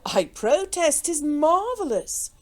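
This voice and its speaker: Woman playing a drawling, foppish English gentleman. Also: high-pitched, sing-song, slow, voice pushed up, exaggerated voice. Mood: astonished, bland. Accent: British. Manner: drawling, blandly amused, affected upper-class exclamations. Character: foppish, lazy, imperturbable English gentleman, tall, drawling, good-humoured.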